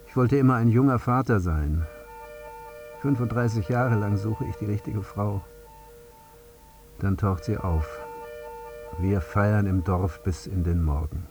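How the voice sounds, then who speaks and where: A weary, haunted father speaks in a low voice over music. His sentences are sparse and deliberate, like a bereaved man recounting his life in a radio drama. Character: grieving foreign architect father, weary and haunted. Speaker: actor. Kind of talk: radio play monologue. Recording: music behind the voice.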